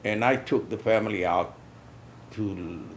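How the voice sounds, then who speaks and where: An older man from South Texas recalling his youth in a halting way, often repeating words. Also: lowish voice, slight background noise. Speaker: interviewee, veteran. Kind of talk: casual recollection.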